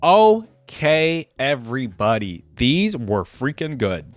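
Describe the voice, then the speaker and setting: A man's voice: mid-range voice, big pitch swings, slow pace, no room sound, faint music behind. A man hosting a reaction video, giving casual, unscripted commentary with enthusiastic, emphatic repetition.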